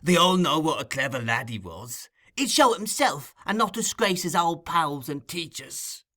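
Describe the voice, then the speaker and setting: A man's voice: on the high side, very animated, normal speed, pushed and bright, pitched above the performer's own voice, exaggerated voice. A man voicing a scheming old male thief-master who wheedles and keeps calling people "my dear.". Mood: coaxing.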